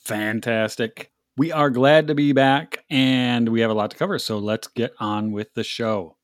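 A man's voice: medium pitch, steady tone, measured pace, dry recording, studio quality. A man hosting a podcast, upbeat and welcoming in casual chat. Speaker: podcast host.

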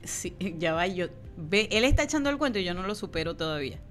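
A woman from Venezuela with a Venezuelan accent, co-hosting a casual chat and telling animated, laughing stories. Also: on the low side, quick pace, faint music behind. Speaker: co-host.

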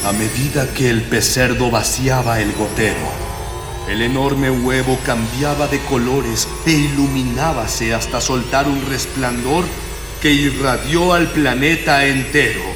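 A man's voice: mid-range voice, steady tone, a little slow, close to an everyday voice. An actor playing a florid, bookish narrator of a radio drama, grandiloquent and archaic in his wording. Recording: echoing reverberant voice over music.

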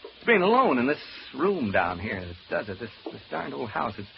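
An actor playing a nervous, rambling radio broadcaster alone in a haunted house, stammering through asides to listeners in an old radio horror play. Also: male voice, mid-range voice, sing-song, moderate pace, gravelly. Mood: jumpy. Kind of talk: old-time radio horror drama acting. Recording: muffled old radio recording, background hiss.

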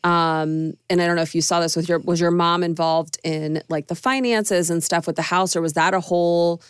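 A woman's voice: lowish voice, fairly even intonation, normal speed, clean tone, dry recording, studio quality. A woman hosting a podcast interview in an upbeat, enthusiastic way, with frequent ums. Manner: upbeat and enthusiastic, many fillers. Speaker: podcast host.

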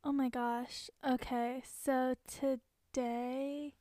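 A woman's voice: high voice, little pitch movement, drawn-out words, warm tone, no room sound, studio quality. Giggly young woman podcast co-host. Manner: giggly, chatty, with many fillers. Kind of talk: casual chat.

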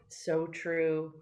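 A woman in casual podcast conversation, talking haltingly and repeating words as she searches for her thoughts. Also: sits low, a little mellow. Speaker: podcast guest or co-host.

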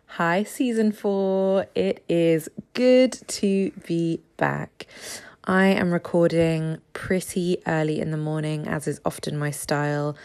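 A woman in her thirties hosting a casual solo podcast, a little raspy from just waking up, chatty with frequent ums and small laughs. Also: fairly low pitch, steady tone, slow, a little mellow. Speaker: podcast host.

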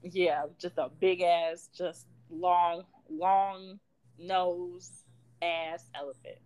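A woman in her twenties from Chicago, co-hosting a casual fan podcast, bubbly and quick to laugh. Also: clean tone, muffled recording. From Chicago, Illinois. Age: 20s. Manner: bubbly, laughs a lot, animated swings in pitch and volume. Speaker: podcast co-host. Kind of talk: casual chat.

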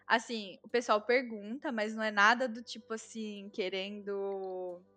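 A woman's voice: medium pitch, steady tone, unhurried, pushed and bright. A Brazilian woman with a Brazilian Portuguese accent, chatting casually and explaining things with lots of fillers. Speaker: guest, Brazilian living in Germany. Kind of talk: casual conversation.

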